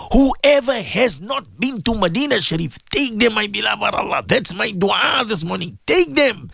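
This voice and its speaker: A woman leading an impassioned, tearful prayer, pleading and swinging between shouted cries and sobbing whispers. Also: on the high side, vocal fry, slightly rough, no echo, studio quality. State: crying. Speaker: leader of a prayer.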